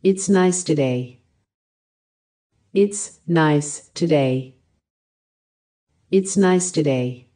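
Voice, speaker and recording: A woman reading short English phrases for a language lesson, each one repeated with careful, even enunciation. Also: low voice, extremely slow, long pauses. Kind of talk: slow repeated phrase drills.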